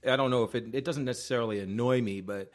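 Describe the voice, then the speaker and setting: A man co-hosting a podcast, chatting casually in a halting way, stacking "like" and restarts and swearing now and then. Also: mid-range voice. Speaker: podcast co-host. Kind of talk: casual chat.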